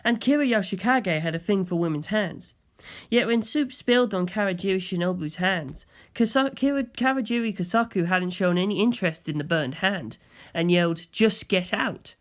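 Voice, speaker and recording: A storyteller narrating scripted fiction in the first person. The pitch is lively and moves widely as the reading goes on. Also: normal speed.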